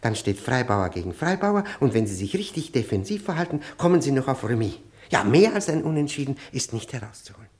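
A man playing a nervous stranger in his forties in a radio drama, an obsessive expert. His mid-pitched voice swings up and down as he gives urgent, precise instructions, sometimes muttering them as if rapt. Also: very animated, brisk, hardly any pauses, a little mellow, lightly acted. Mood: urgent, absorbed. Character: pale nervous stranger of forty-five, obsessive chess expert. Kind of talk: radio play acting.

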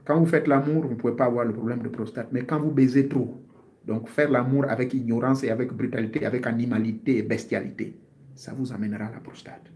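A man's voice: mid-range voice, fairly even intonation, fast, a little mellow. A man in his fifties, a martial artist, giving health advice to his viewers in an earnest, informal monologue, often repeating himself and searching for words. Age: 50s.

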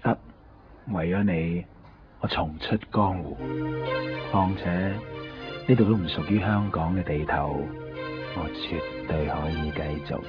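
An actor with a Hong Kong accent playing a cocky, streetwise hustler and loyal friend in a radio drama. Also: male voice, sits low, a little slow, pauses often. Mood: cocky, obliging. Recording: dull 1990s radio recording, faint music.

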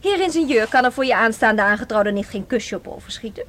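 A cheeky young woman in a radio play. Her voice is high. She chatters in a breezy, teasing way and sounds playful and independent. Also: expressive, a little fast, fairly bright tone, a little hiss or hum. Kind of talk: radio drama acting.

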